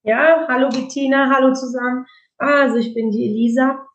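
A woman's voice: high voice, fairly even intonation, normal speed, echoey room, dull audio. A woman raised bilingual in Germany, a guest chatting casually in an interview, full of fillers and restarts. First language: Italian.

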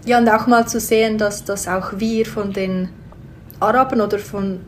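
A woman's voice: medium pitch, steady tone, normal speed, slight background noise. A woman, a guest answering questions thoughtfully with frequent hesitations. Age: 20s. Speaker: guest, theology student. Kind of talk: casual interview.

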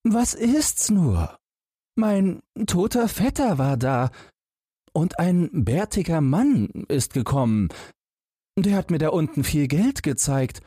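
A man voicing a fearless, simple-minded young lad in a fairy tale, with a high, strained, naive voice. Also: big pitch swings, slow pace, warm tone, husky, no room sound, clean studio sound, full character voice. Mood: naive, unimpressed.

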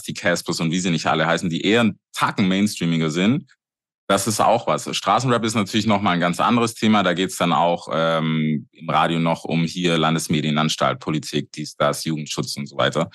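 Blunt man with a very deep voice. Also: no room sound, studio quality. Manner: blunt, agrees flatly. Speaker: radio presenter, guest. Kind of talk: casual roundtable discussion.

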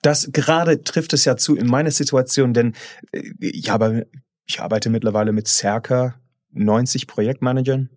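A man's voice: mid-range voice, fairly even intonation, normal speed, darkish tone, dry recording. Blunt man co-hosting a podcast, dry sound. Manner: blunt, repeats words when restarting. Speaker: podcast co-host. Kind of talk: casual chat.

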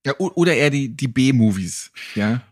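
A man's voice: medium pitch, lively intonation, moderate pace, no room sound, studio quality. A man hosting a podcast in a casual, joking and colloquial way. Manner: flippant, colloquial. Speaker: podcast host.

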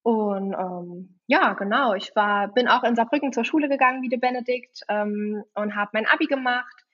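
A woman's voice: on the high side, normal speed, dull audio. Giggly young woman from with a cold. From Germany, Saarland. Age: 20s. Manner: giggly, chatty, many fillers. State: has a cold. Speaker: guest, wedding officiant. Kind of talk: casual podcast interview.

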